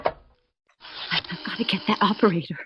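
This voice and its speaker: A woman's voice: on the low side, moderate pace, a bit bright, a little hiss or hum, lightly acted. A woman playing an anxious, demanding invalid wife in a radio thriller, agitated and stammering as fear takes hold. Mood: fearful, hushed.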